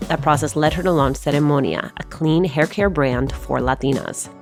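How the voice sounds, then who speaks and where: Deep-voiced woman podcast host, soft music. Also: moderate pace, gravelly. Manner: scripted intro, then probing questions. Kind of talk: podcast interview.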